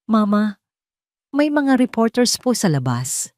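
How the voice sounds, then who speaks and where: An anxious young child in an audio drama, put on by a woman narrator. The voice is raised in pitch and politely questioning, recorded dry. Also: big pitch swings, slow pace, darkish tone, dry recording.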